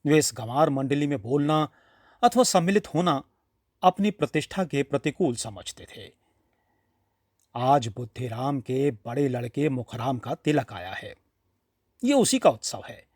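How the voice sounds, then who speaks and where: A man narrating a classic short story in an expressive, dramatic audiobook style with deliberate phrasing. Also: medium pitch, dry recording, clean studio sound.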